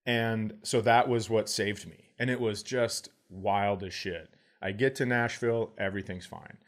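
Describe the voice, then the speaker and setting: A man's voice: fairly low pitch, steady tone, a little slow. A man telling stories in a casual comedic way as a podcast host, sarcastic and swearing freely.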